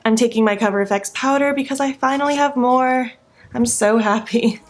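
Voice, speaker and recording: Sleepy young woman rambling thru her makeup routine, gravelly fry in her voice. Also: a little high. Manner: chatty and rambling, with filler likes. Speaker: beauty vlogger. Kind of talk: casual get-ready-with-me chat.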